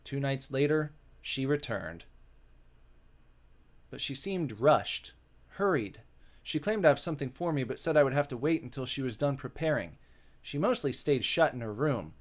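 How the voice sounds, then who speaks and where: A man reading a visual novel aloud as a dramatic reading, with casual asides. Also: medium pitch. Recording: music behind the voice.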